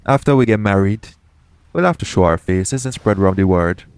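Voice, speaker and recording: Man playing deep-voiced, coaxing pirate captain. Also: expressive, a little fast, a little mellow, smooth. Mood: scheming, confident. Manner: coaxing, self-assured, conversational. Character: shady, smooth-talking male pirate captain, roguish and persuasive. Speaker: audio roleplay voice actor. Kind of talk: second-person audio roleplay acting.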